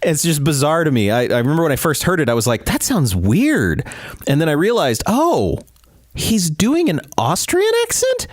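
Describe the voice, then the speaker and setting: A man's voice: on the high side, huge pitch swings, normal speed, dry recording. A man co-hosting a podcast, talking about films in a casual, enthusiastic way, with many fillers and restarts. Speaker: podcast co-host.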